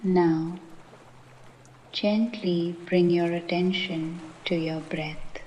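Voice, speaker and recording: A woman gently guiding a meditation in a soothing manner, with a soft voice that turns breathy at times and frequent pauses. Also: lowish voice, a little hiss or hum. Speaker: meditation guide. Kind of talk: guided meditation narration.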